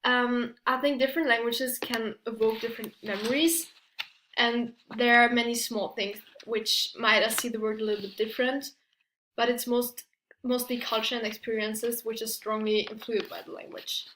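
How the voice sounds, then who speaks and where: A woman's voice: fairly high pitch, little pitch movement, moderate pace, a bit bright, a little hiss or hum. Hesitant young woman in an echoey room. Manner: hesitant, with fillers and self-corrections. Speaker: student presenter. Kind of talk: class presentation, partly read.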